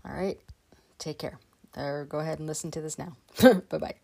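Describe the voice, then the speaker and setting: A woman's voice: sits low, steady tone, normal speed, gravelly, warm tone. A woman who hosts a podcast and speaks in a rambling, casual way, with many ums and restarts. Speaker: podcast host. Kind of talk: casual podcast intro and interview.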